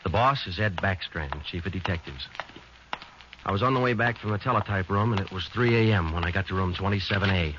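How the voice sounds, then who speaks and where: An actor playing a matter-of-fact police detective sergeant in a 1940s radio drama, speaking in a clipped, deadpan way. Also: male voice, low voice, a little fast, noisy background, close to an everyday voice. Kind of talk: 1940s radio acting, first-person narration. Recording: muffled old radio recording.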